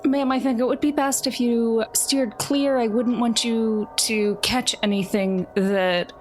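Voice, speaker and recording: A woman's voice: fairly high pitch, steady tone, a little slow, soft background music. Woman roleplaying at a tabletop game. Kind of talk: casual in-character roleplay.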